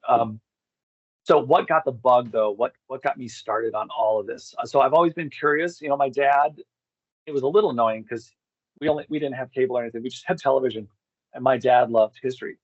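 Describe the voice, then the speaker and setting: A man's voice: mid-range voice, fairly quick, husky, no room sound. Man rambling through stories, dull dry recording. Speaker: interview guest. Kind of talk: casual rambling personal storytelling.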